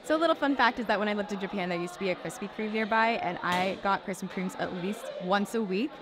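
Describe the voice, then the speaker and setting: A woman's voice: medium pitch, quick pace, noisy background. A woman in her twenties chatting casually to camera as a vlog host, with an upbeat, exclaiming manner. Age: 20s. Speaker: vlog host, cruise ship crew member. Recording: music behind the voice. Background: background music.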